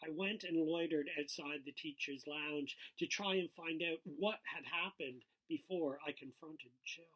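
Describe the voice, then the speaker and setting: A man reading a novel aloud in an expressive narrative style, as its author. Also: on the high side, measured pace, hardly any pauses, poor audio. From Canada.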